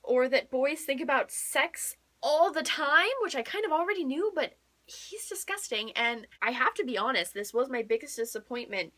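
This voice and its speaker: A woman's voice: high-pitched, expressive, moderate pace, pushed and bright. A young woman chatting casually as a book vlogger, gushing and emphatic, often repeating words for emphasis. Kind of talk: casual chat to camera.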